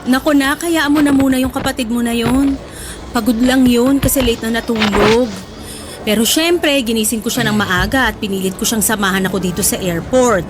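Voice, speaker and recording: Actress as high-pitched Filipino mom, firm and fond, emphatic soap-style radio drama over music. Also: female voice, moderate pace, natural voice. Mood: soothing. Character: stern but affectionate Filipino mother. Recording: music bed behind the voice.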